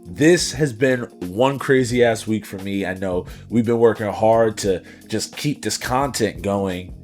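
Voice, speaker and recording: A man with a fairly low voice hosts a laid-back podcast, talking in an upbeat, slangy way. A bit of rasp sits in his voice after a week of partying, and faint music plays underneath. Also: a little slow, a little mellow. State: slightly raspy after partying. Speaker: podcast host. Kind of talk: casual podcast chat.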